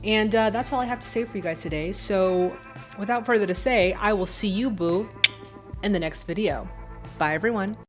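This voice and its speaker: A woman's voice: mid-range voice, moderate pace, over music. A woman hosting a film reaction vlog, talking casually and emphatically to viewers in a chatty, informal way.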